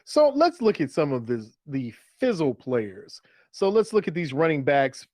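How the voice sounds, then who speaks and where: A man's voice: fairly high pitch, sing-song, a little slow, a little mellow, no echo, clean studio sound. A man co-hosting a fantasy football show in a casual, conversational way, posing questions. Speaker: fantasy football podcast co-host. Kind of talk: casual sports chat.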